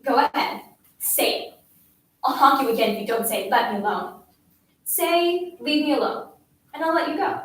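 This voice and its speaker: A woman's voice: high voice, animated, moderate pace, long pauses, fairly bright tone. Echoey, far off lady playing a mean schoolgirl, taunting and pushing, dramatic monologue. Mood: demanding. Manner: taunting, badgering, insistent. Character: bullying young schoolgirl, scornful and relentlessly demanding. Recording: echoey room, distant mic, faint noise.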